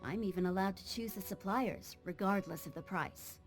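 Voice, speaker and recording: Game medic, voiced by a woman: calm, earnest female sea surgeon, mid-pitched, measured and explanatory, soft music behind. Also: natural voice. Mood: calm, reassuring. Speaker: ship's surgeon character. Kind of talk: video game voice acting. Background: background music.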